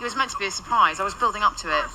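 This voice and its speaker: A woman's voice: mid-range voice, rapid-fire, close to an everyday voice. A woman with a British accent playing an irreverent, wisecracking ghost in a naturalistic radio drama. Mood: exasperated. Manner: flippant, sardonic, chatty. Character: irreverent, wisecracking female ghost sent to negotiate. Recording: loud ambient noise behind.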